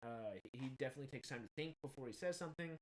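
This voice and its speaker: A man in his thirties with a slight Texan accent, hosting casual film news commentary in an animated, rambling, emphatic way. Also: medium pitch, very fast. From Texas. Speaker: film news show host.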